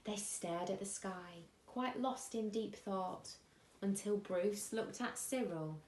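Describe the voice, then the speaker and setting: Slow, expressive woman storyteller in echoey room. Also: medium pitch, slight background noise. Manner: expressive, dramatic pauses and gasps. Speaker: teacher reading a bedtime story. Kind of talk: animated rhyming picture-book storytelling for children.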